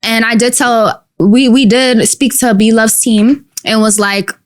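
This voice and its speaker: Young New York woman, twenties, a touch high-pitched, slangy guest chatter into a studio mic. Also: unhurried. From Queens, New York. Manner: animated, slangy, lots of likes and you-knows. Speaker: guest rapper. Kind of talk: casual podcast interview. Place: small dark podcast studio. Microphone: studio mic on boom arm.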